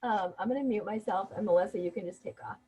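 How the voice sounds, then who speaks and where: A woman's voice: medium pitch, fast talker, dark tone, husky, low-quality recording. A woman losing her voice, co-hosting a team video call with upbeat, encouraging talk full of fillers. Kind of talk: casual motivational team talk.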